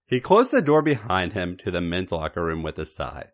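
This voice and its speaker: Deep-voiced man narrating with sweeping expression. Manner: expressive, theatrical emphasis with pauses. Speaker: podcast host reading fan fiction. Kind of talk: dramatic scripted story narration.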